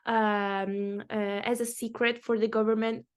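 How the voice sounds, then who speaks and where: A woman's voice: fairly high pitch, flat delivery, unhurried, smooth. A young Italian woman with an Italian accent hosting a podcast interview, chatty, laughing often, with many fillers. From Italy. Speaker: podcast host. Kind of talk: casual interview chat.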